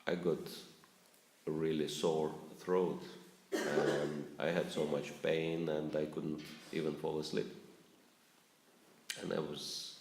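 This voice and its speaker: Male spiritual teacher addressing a live audience; deep voice, asks questions, echoey lecture room. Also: level tone, slow pace, pauses often, darkish tone, slight background noise. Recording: roomy echo.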